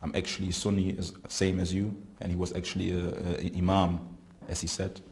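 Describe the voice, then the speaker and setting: A man, telling his story in an interview in a prison, halting and searching for words. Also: low voice, little pitch movement, a little mellow, a little hiss or hum. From Germany. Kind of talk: recounting experiences in an interview.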